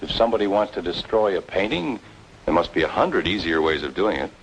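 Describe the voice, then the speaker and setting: A man's voice: low-pitched, lively intonation, a little fast, a little hiss or hum, low-quality recording. A man playing a brusque, skeptical leading man in an old-time radio noir drama, speaking curtly. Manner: curt, skeptical.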